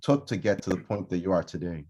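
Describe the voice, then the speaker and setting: A man's voice: low voice, animated, fast talker, warm tone, breathy edge. A man hosting a podcast, introducing his guest with an upbeat tone and frequent fillers. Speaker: podcast host. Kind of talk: casual podcast interview.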